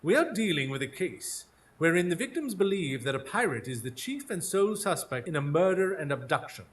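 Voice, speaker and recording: A shrewd, theatrical Victorian detective played by a man in a radio play, recorded live with faint background noise. His fairly high male voice swings widely in pitch as he delivers formal, articulate deductions. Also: sing-song, fairly quick. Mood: intense, brooding. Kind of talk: live radio drama acting. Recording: noisy live stage recording.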